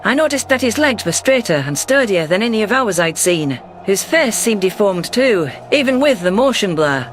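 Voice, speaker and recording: A man's voice: high-pitched, sing-song, moderate pace, hardly any pauses, fairly bright tone. A man reading a scripted first-person story as its narrator, in an audio drama. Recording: music bed under voice.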